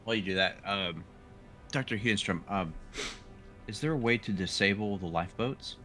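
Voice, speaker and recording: A man roleplaying casually in a tabletop game, halting and often repeating his phrases. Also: lowish voice, over music.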